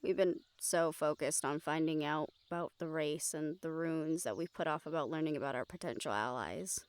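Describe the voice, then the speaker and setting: A woman's voice: sits low, moderate pace, clear, resonant tone, no echo, natural voice. A woman playing an easygoing, helpful young travelling companion in a scripted audio roleplay, friendly and practical.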